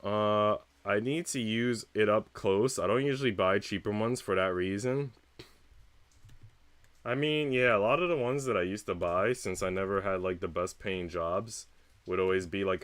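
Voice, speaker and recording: A man's voice: lowish voice, normal speed, a bit bright, no room sound. A man livestreaming, chatting casually with viewers in a rambling, slangy way with casual swearing. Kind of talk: casual chat with stream viewers.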